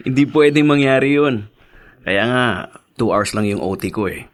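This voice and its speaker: A man's voice: medium pitch, lively intonation, measured pace, no echo, close to an everyday voice. A man playing a hardworking, devoted young newlywed husband in a Tagalog radio drama, earnest and slightly hesitant. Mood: light, earnest.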